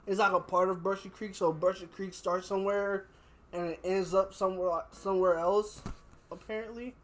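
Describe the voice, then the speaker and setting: A young man chatting casually as a podcast host, telling anecdotes in a slangy, animated way. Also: high-pitched, moderate pace, slight background noise. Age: young adult. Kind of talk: casual chat, storytelling.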